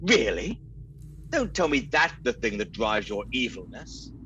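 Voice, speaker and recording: An actor playing a proper, pompous British gentleman in a comic radio play, with theatrical delivery and a British accent. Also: medium pitch, sing-song, unhurried, dull audio, slight background noise. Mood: indignant. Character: indignant, proper British gent, detective's companion.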